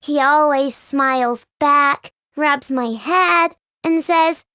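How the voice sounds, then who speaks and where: Bubbly kid tinkerer: high, lively voice, close dry game recording. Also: female voice, dry recording, full character voice. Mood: playful. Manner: bubbly, lively, quick mood swings. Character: bubbly young girl robot inventor, chatty and playful. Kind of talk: video game voice lines.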